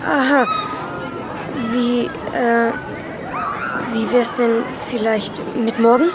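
A bashful, awkward schoolboy in a kids' audio drama. The voice is slow, halting and fairly high, amid loud crowd noise. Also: female voice, fairly even intonation. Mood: shy, disappointed. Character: shy, awkward schoolboy, Tim.

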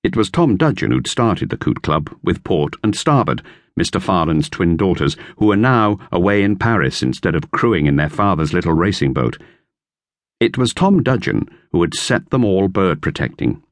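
A man narrating a children's classic in a lively storytelling manner. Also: sits low, moderate pace, dry recording. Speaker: audiobook narrator. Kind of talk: scripted narration. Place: recording studio.

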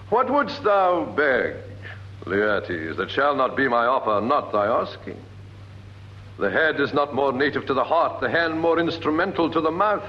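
Stately British king, swooping delivery. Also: mid-range voice. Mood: gracious, coaxing. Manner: stately, declamatory, rhetorical. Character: smooth, commanding new king addressing his council. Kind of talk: classical Shakespearean radio acting. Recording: dull, noisy vintage radio recording.

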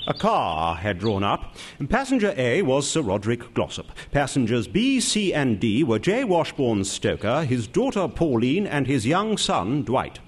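An actor playing a flippant young upper-class British gentleman, droll and excitable, in a comic audio drama. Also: mid-range voice, measured pace, slightly rough, heavily acted. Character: genteel, flippant young English gentleman, narrating his misadventures.

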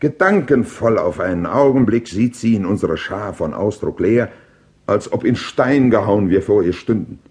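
An actor playing a proud Greek king and war leader who recounts battle in classical verse drama, declaimed with deliberate, rhetorical phrasing. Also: fairly low pitch, animated, a little mellow, natural voice. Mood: impassioned, rhetorical.

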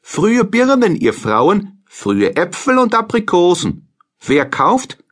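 Man doing an eager, high-pitched market boy. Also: wildly swooping pitch, takes it slowly, heavily acted. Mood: eager, calling out. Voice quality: bright, high calling voice. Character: eager twelve-year-old boy hawking market goods.

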